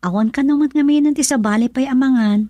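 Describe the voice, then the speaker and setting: A woman's voice: high voice, fast talker, mellow, natural voice. A woman playing a meek, wistful young wife and trained nurse in an Ilocano radio drama. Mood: hesitant.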